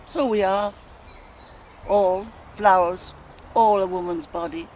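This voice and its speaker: Slow, musing Irish woman performed by an actress. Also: medium pitch, voice pushed up, natural voice. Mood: tender reminiscence. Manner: musing, expressive, slow and deliberate with sighs. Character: sensual Irish woman lost in rapturous memory. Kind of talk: theatrical literary soliloquy. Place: outdoors in countryside woodland. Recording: faint outdoor ambient noise. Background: faint birdsong and nature ambience.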